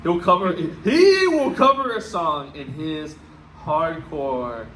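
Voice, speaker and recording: A man's voice: on the high side, lively intonation, slow pace, fairly bright tone. A man co-hosting a casual comedy podcast, blunt and emphatic, swearing heavily. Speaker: podcast co-host. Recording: echoey room with clear background noise.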